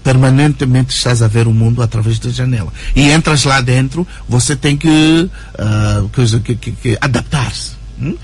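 A writer and journalist as a radio interview guest, talking in an animated way with many fillers and tag questions. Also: male voice, mid-range voice, moderate pace, few pauses, husky. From Angola. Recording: radio broadcast recording.

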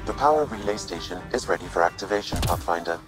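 A man voicing a calm artificial intelligence advisor in a video game, formal, precise and unemotional. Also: sits low, fast talker, music in the background. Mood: calm, informative. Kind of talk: scripted video game dialogue.

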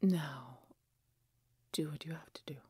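Woman voicing a husky, low, proud dragoness. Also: mellow, no room sound, studio quality, full character voice. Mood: grudging, proud. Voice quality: breathy, husky. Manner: imperious, theatrical, deliberate phrasing. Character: proud, mighty wounded female dragon, haughty and grudging. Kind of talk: fantasy audio roleplay acting.

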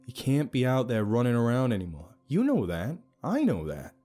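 A man's voice: mid-range voice, a little fast, mellow, clean tone, faint music behind, voice pushed up, close to an everyday voice. A man playing a wry, candid young man, the casual and sardonic hero of an audio story. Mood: earnest.

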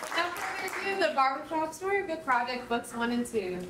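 A woman's voice: high-pitched, animated, a little fast, a loud crowd. A woman co-hosting a live event with informal introductions to the audience. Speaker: co-host. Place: indoor rehearsal hall. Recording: distant, echoey, noisy room with audience.

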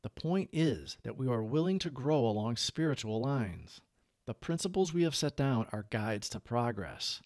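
A man narrating a book aloud as a reader, with measured, clearly phrased delivery. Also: mid-range voice, expressive, a little mellow, dry recording, clean studio sound. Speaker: audiobook reader.

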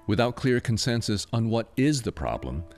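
Low-voiced American male news reporter. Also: very animated, normal speed, over music. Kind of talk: scripted news narration.

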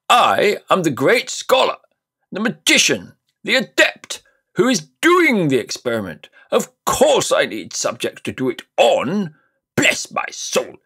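A man's voice: sharp tone, breathy edge, full character voice. A man voicing a pompous, cruel elderly male magician in a storybook reading, blustering and haughty. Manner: high, blustering, haughty and indignant. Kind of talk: audiobook character voice.

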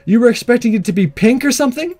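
A man plays a chirpy high school girl from a visual novel. The voice is pitched high and swings up and down with eager friendliness, over background music. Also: lively intonation, fast talker, a little mellow. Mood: cheerful, friendly. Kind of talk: visual novel character read aloud.

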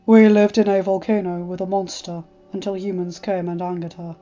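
A woman's voice: fairly low pitch, little pitch movement, moderate pace, dark tone, lo-fi sound, natural voice. A woman doing a grave, formal dragon. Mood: solemn. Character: solemn formal male dragon, the Nightmare. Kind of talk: fan fiction audiobook reading.

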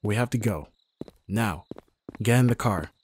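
A man playing a gruff, protective young bodyguard in an ASMR roleplay, with a husky voice and a sardonic, intimate delivery. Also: lowish voice, a little slow, a little hiss or hum, lightly acted. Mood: urgent, commanding. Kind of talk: ASMR roleplay audio drama.

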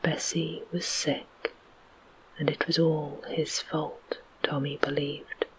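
A storyteller reading a children's tale aloud in a soft, unvoiced whisper, slow and even. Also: medium pitch, low-quality recording.

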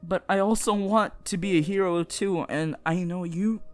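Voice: medium pitch, steady tone, moderate pace, close to an everyday voice. A performer voicing a nervous, sweet teenage boy hero-in-training who stammers and mumbles in a fan roleplay audio. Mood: shy, tender. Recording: music behind the voice.

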